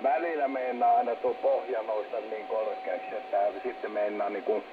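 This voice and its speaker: A man's voice: fairly low pitch, fairly even intonation. A man chatting casually as an amateur radio operator over shortwave, rambling and repeating his words. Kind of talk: casual ham radio contact. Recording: tinny shortwave radio transmission, heavy static. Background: loud radio static.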